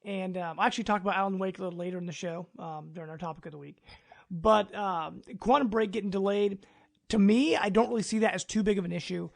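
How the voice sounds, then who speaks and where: A man's voice: sits high, fairly even intonation, quick pace, gravelly. A man hosting a casual podcast in an agreeable, chatty way. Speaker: podcast host.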